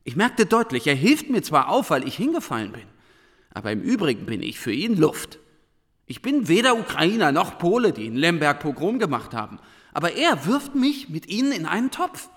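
Radio drama: reflective, indignant schoolteacher, highish and very animated, in a reverberant space. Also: male voice, normal speed. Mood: troubled. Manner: reflective, questioning, intense. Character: brooding, earnest male schoolteacher, fascinated and indignant. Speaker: actor in a radio play. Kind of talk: German radio drama dialogue.

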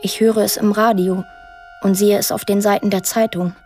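An actress voicing a lonely, imaginative eight-year-old girl in a radio drama monologue, plain, deliberate and earnest. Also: mid-range voice, flat delivery, darkish tone, soft background music, lightly acted. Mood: wistful, matter-of-fact.

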